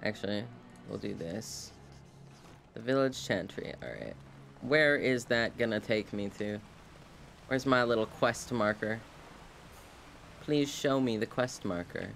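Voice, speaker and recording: A man's voice: fairly low pitch, creaky, fairly bright tone, a little hiss or hum. A man streaming a game with casual live commentary, full of playful sing-song asides.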